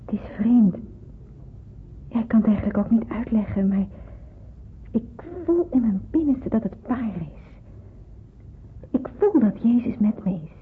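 A woman's voice: on the high side, expressive, a little slow, long pauses, phone-quality sound. A woman playing an earnest, uneasy young woman in a radio drama, hesitant and polite, on a noisy old recording. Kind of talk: Dutch radio drama acting.

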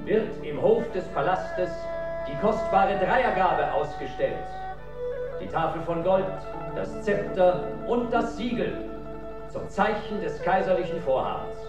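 An actor playing a solemn imperial court official in a radio drama, formally proclaiming ceremonies in measured phrases. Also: male voice, high voice, expressive. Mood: solemn, ceremonial. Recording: roomy, distant, with loud music.

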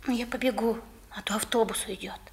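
An earnest young girl in a vintage 1960s radio play. Her voice sits high with a husky, breathy edge. She speaks eagerly, in a theatrical radio manner of that era. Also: steady tone, brisk. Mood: shy, hurried. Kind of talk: 1960s Soviet radio drama acting.

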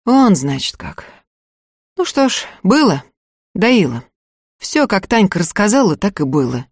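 A woman voicing a calm young peasant woman who speaks quietly and drawls. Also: medium pitch, measured pace, slightly rough, no room sound, studio quality, exaggerated voice. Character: calm pale young peasant woman Ustinya, accused witch.

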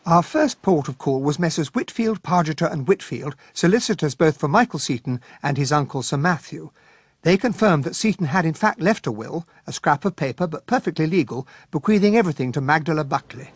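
Radio drama: polite English companion-narrator, slightly high male pitch, husky, dry close sound. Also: expressive, fairly quick, dry recording. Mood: measured narration. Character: genteel, loyal English gentleman, detective's companion and narrator.

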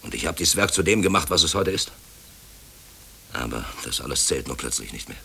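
An actor playing a brusque, overworked middle-aged company director in a German radio play, officious and self-pitying. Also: male voice, rumbling low, expressive, brisk, creaky, pushed and bright, husky, heavily acted. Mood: bitter, brooding. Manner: brusque, officious, brooding when alone. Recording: hissy old radio play recording.

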